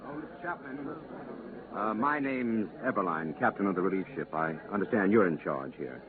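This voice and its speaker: A man portrays a polite, hesitant ship's captain in vintage science fiction radio. His voice is deep. It comes over a narrow recording with chatter behind it. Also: lively intonation, moderate pace, natural voice. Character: polite, hesitant male captain of relief rocket. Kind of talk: 1950s radio drama acting.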